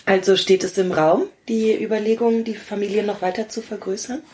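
Woman interviewer with medium-pitched voice. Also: fairly even intonation, fairly quick, mellow. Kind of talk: conversational interview questions.

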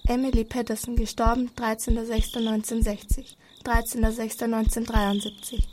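School girl as young boy, eager but uneasy, highish voice, noisy recording, radio play. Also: flat delivery, brisk, close to an everyday voice. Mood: alarmed. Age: child pupil.

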